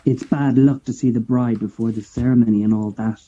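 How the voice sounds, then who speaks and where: Casting: male actor as creepy leering watcher, very dark husky tone, muffled sound, horror audio drama. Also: mid-range voice, fairly even intonation, brisk, slight background noise, natural voice. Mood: eerie, leering. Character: eerie, leering male onlooker, hungry to watch.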